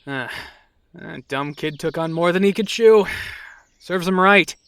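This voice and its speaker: Smooth, cynical knight, played by a man. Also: fairly high pitch, a little slow, fairly bright tone, no echo. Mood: scornful. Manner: sarcastic, blunt. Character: cynical, dismissive fantasy knight. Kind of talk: audio drama acting.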